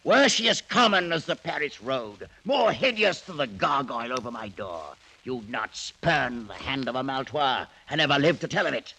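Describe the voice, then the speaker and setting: A man's voice: medium pitch, very animated, moderate pace, few pauses, bright, forceful tone, breathy edge, exaggerated voice. An actor playing a ruthless, imperious ageing French nobleman in a theatrical, mocking, commanding old-time radio drama style. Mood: cold threatening. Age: older. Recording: noisy vintage radio drama recording.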